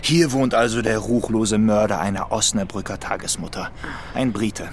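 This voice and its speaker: An actor playing a sarcastic, jokey, needling police inspector in a German radio crime drama. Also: male voice, on the low side, moderate pace, a little hiss or hum, natural voice. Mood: mocking. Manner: sarcastic, provocative.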